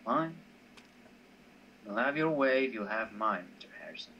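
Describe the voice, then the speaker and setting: A man's voice: fairly low pitch, animated, moderate pace. A man voicing a nonchalant, teasing male drinking companion in an amateur visual novel reading, with casual, goading replies. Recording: dull, slightly noisy home recording.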